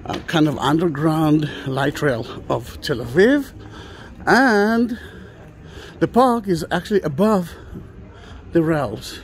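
A man's voice: a little high, slight background noise. A middle-aged man guiding a walking tour outdoors, talking hesitantly with many fillers and restarts. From Israel. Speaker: tour guide. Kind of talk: walking tour vlog, unscripted. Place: outdoor plaza of an old train station. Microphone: phone or camera carried while walking.

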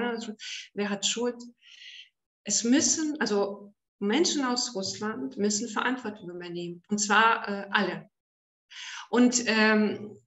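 A woman's voice: mid-range voice, a bit bright, echoey room. A woman from Ukraine speaking as a guest on an online panel, hesitant with many fillers and restarts, heard over a video call.

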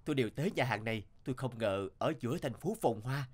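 A man's voice: mid-range voice, expressive, fast, breathy edge. A man voicing an earnest, humble young male hero in a cartoon, in both dialogue and storytelling. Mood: reflective, sincere.

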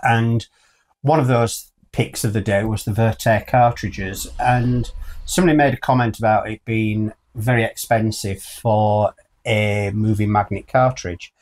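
British man in casual, unhurried chat. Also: fairly low pitch, fairly even intonation, a little mellow. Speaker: co-host of a hi-fi news show. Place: small furnished room indoors.

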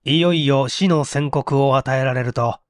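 A man's voice: mid-range voice, unhurried, no room sound, studio quality. A man reading a mystery story aloud as dramatic scripted narration. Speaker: audiobook reader.

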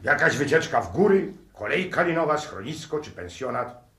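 A man's voice: mid-range voice, very animated, moderate pace, fairly bright tone. A man in a 1960s radio play acting a nervous, fault-finding professor, curt and agitated. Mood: irritated, puzzled. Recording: roomy, echoing radio play studio.

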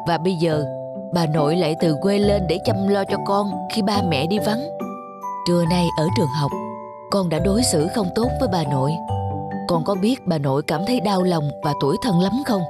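A woman's voice: lowish voice, moderate pace, natural voice. A woman voicing a patient but firm young father in a children's cartoon, speaking in an earnest, explanatory manner. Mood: reproachful. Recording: music behind the voice. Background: background music.

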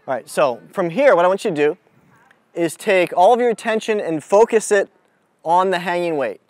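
Higher-pitched man coaching a group outdoors. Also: lively intonation, darkish tone, dry recording. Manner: deliberate, instructive, asks the group questions. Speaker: mental toughness coach leading a youth clinic. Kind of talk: motivational lesson to a group. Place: outdoors on an amphitheater lawn.

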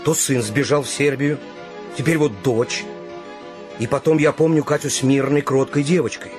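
An actor playing a stern, indignant grown son in a radio drama, curt, reproachful and sardonic. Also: male voice, mid-range voice, steady tone, normal speed, over music, lightly acted. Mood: stern disbelief.

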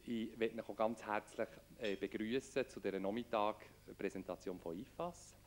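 Man, forties, lowish voice, conference speaker, table microphone. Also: normal speed. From Switzerland. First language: Swiss German. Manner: addresses audience directly, asks questions. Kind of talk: conference presentation. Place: conference room with window.

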